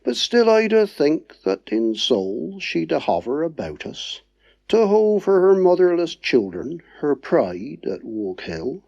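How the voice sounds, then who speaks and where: Slow Dorset man reciting dialect verse. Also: a little high, sing-song, creaky, darkish tone, dry recording, highs cut off.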